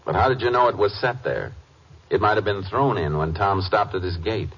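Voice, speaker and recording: An actor playing a calm, firm Texas Ranger who narrates and questions tersely in a 1950s radio drama. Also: male voice, low-pitched, breathy edge, full character voice. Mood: stern, probing. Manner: terse, measured, authoritative. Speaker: lead detective and narrator. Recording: dull old radio recording.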